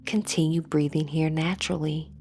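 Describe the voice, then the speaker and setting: A woman leading a scripted guided meditation as an instructor, soothing and measured, with phrases that trail into breathiness. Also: low voice, steady tone, a little mellow, slight background noise.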